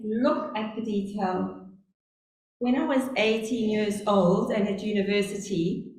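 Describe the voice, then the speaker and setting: A middle-aged woman with a South African accent preaching a sermon, telling stories slowly and earnestly. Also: mid-range voice. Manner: earnest, storytelling, speaks slowly on purpose. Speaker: lay preacher. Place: church. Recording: very echoey church, faint hum.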